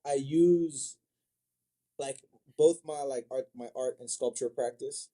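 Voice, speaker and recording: A man's voice: mid-range voice, slow, mellow. A man from Africa chatting casually as an interview guest, agreeable and slangy, often repeating short phrases. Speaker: interview guest, manga artist and sculptor.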